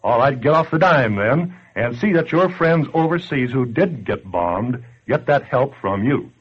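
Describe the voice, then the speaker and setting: A man's voice: medium pitch, lively intonation, a little slow, husky. An actor playing a tough, slangy man who scolds listeners bluntly in 1940s radio style. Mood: blunt, urging. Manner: blunt, sardonic, colloquial. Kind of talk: 1940s radio acting, direct address to listener. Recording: dull lo-fi 1940s radio recording.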